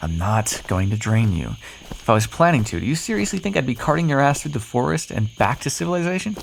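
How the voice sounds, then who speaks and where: A man's voice: medium pitch, big pitch swings, fast talker, vocal fry, a little hiss or hum. A man playing a cocky, flirtatious, sardonic vampire in an ASMR roleplay, teasing the listener with pet names, sarcasm and swearing. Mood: smug, teasing.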